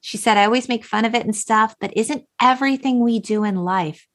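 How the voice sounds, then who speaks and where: A woman hosting a podcast, chatting casually and retelling film dialogue in an animated way. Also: fairly high pitch, brisk. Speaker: podcast host. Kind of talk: casual chat, recapping a film.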